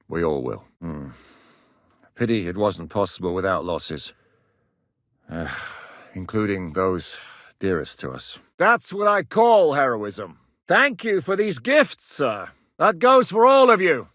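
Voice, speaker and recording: An actor playing a blunt, battle-hardened middle-aged mercenary commander in a video game, coarse-spoken with a dry wit. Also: male voice, lowish voice, big pitch swings, a little slow, long pauses, bright, forceful tone, no room sound, heavily acted. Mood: formal, grateful. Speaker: military commander. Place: studio booth. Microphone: close studio mic.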